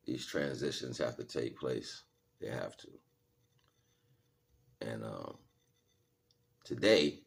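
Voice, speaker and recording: A man with a very low, rough-edged, husky voice holds forth on a livestream. He talks in loose slang, part chat and part preaching, and circles back over the same phrases to drive them home. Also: fairly bright tone. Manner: slangy, repeats phrases for emphasis. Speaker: livestream host and spiritual teacher. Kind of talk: casual livestream monologue, preaching to viewers.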